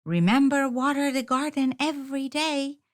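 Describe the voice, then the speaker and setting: A woman's voice: high-pitched, normal speed, pitched above the performer's own voice, natural voice. A woman voicing a fussy farm wife and proud gardener in a storybook, with a bossy, folksy manner. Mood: instructing.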